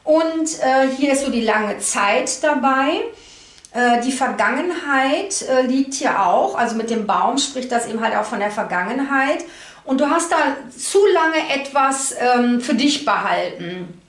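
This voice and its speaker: Lady doing a card reading vlog, bit high pitched, searches for words, echoey room sound. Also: lively intonation, unhurried. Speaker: tarot card reader. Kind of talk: informal explanatory card reading to camera. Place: indoor room at a table. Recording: roomy, echoey indoor sound.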